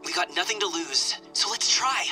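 A man's voice: high voice, animated, normal speed, sharp tone, faint music behind, full character voice, rough recording. Eager, earnest young man. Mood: eager, calling out. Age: young adult. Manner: sincere, informal. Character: earnest, eager young male firefighter, raised as an orphan. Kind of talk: video game drama dub.

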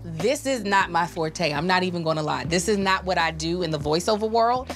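A woman's voice: fairly low pitch, animated, brisk, faint music behind. A woman giving a casual, candid commentary to camera as a contestant in a studio. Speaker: contestant, voice-over performer. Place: podcast studio.

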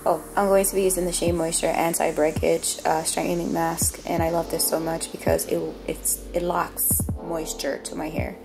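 A young woman with a raspy voice, a bit under the weather, chatting casually to viewers about her routine in a small bathroom. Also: sits low, flat delivery, moderate pace, few pauses. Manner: chatty, self-mocking, talks to viewers directly. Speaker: beauty vlogger. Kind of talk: casual chat. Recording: music playing clearly underneath.

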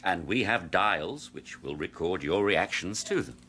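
An actor playing a cold, patronising doctor in a radio drama, clinical and condescending. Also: male voice, sits low, expressive, unhurried, sharp tone, full character voice. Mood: smoothly patronising. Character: cold, patronising male doctor running a brutal experiment.

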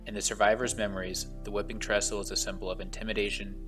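A man with a fairly low voice reads a scripted voice-over for a walking tour, steady and solemn in his delivery. Soft guitar music plays faintly underneath. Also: fast talker. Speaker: travel vlogger narrating a tour.

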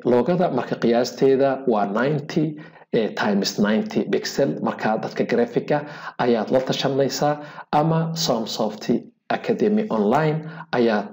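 A man teaching a hotel management program over a screen recording, speaking haltingly with many filler sounds and English technical terms mixed in. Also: medium pitch, a little mellow. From Somalia. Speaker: software instructor. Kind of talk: screen-recorded software tutorial.

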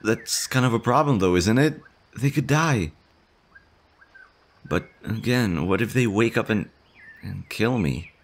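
A man's voice: lowish voice, lively intonation, unhurried, pauses often, a little hiss or hum. A man playing a jittery stammering slime boy. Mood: anxious. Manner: stammering, anxious, fretful. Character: nervous shy male slime monster. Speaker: voice actor. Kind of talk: audio roleplay.

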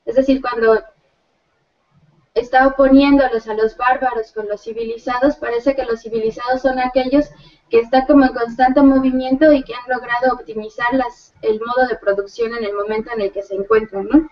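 A woman's voice: on the high side, steady tone, normal speed. A young woman giving a seminar presentation in a living room, thoughtful and hesitant with many fillers. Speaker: student presenter. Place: living room with others on a sofa. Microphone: far laptop webcam. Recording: distant, muffled video call audio.

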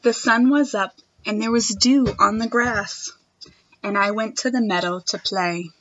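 A young woman reading a picture book aloud to children in a gentle, expressive manner. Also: medium pitch, measured pace, muffled recording. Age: young adult. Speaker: storytime reader. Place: wood-panelled room at home.

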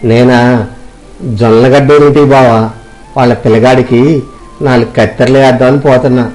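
A man's voice: mid-range voice, level tone, fairly quick, darkish tone, soft background music. A man voicing poor older village men in a dramatized moral story, with earnest, rustic dialogue. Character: poor older village washerman and his barber friend.

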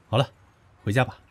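An actor playing a passionate, boyish young man in an audio drama, with a husky, insistent delivery. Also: natural voice. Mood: happy. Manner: emotional, insistent. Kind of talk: Chinese audio drama acting.

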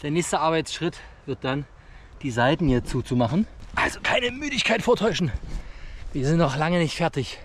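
Higher-pitched man vlogging in a noisy outdoor setting. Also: lively intonation, moderate pace. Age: 30s. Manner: colloquial, chummy address to viewers. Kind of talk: casual bushcraft how-to vlog, talking to camera. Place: outdoors in a forest. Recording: clear background noise, outdoor ambience.

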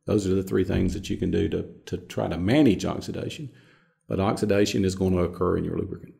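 A gravel-edged, mellow man in middle age speaks from deep in his range. He explains technical matters in a panel discussion, stressing points by repeating words. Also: fairly even intonation, fast. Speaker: filtration subject matter expert. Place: small conference room, indoors.

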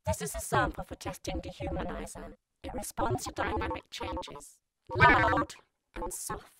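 A woman reading a scripted test sample in short deliberate statements, processed through a robotic vocoder or ring modulator effect. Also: fairly high pitch, wildly swooping pitch, a crowd in the background.

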